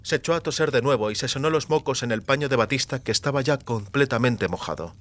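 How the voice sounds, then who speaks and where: Measured male audiobook narrator, medium pitch. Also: noisy background. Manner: measured, literary storytelling.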